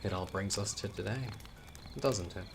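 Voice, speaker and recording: A man playing a chatty young storyteller with hidden menace in a horror audio roleplay, talking casually with wry asides and hesitant pauses. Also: lowish voice, noisy background, close to an everyday voice. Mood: casual, teasing.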